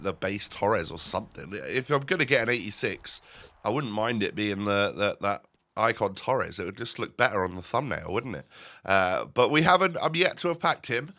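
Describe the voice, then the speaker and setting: A man's voice: fairly low pitch, sharp tone. A young man with a stuffy, nasal voice, rambling through casual gameplay commentary with lots of fillers and restarts. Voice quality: nasal, congested. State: stuffy, bunged up. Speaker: gaming YouTuber.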